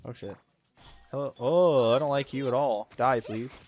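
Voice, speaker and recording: A man doing casual, informal gameplay commentary on a livestream, thinking out loud and swearing freely. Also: medium pitch, moderate pace. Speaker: game streamer. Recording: game music behind the voice. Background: video game music.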